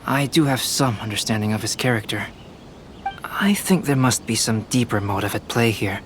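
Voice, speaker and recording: A man voicing a calm, analytical young scholar in a video game, articulate and courteous, reasoning in a measured way. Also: on the low side, a little hiss or hum, natural voice. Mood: analytical, composed.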